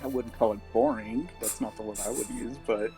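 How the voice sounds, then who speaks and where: A man's voice: medium pitch, fairly even intonation, fairly quick, warm tone, soft background music. A man playing a tabletop role-playing game, casually narrating and chatting in character.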